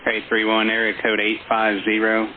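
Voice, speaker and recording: A man's voice: lowish voice, completely flat delivery, moderate pace. A man working as an air traffic controller, giving instructions over a radio. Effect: radio transmission. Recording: muffled band-limited radio recording with chatter behind.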